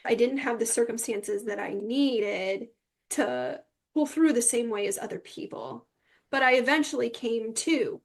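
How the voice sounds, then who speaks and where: A woman's voice: a little high, measured pace. A woman speaking as an interview guest, telling her personal story in a candid, emphatic way.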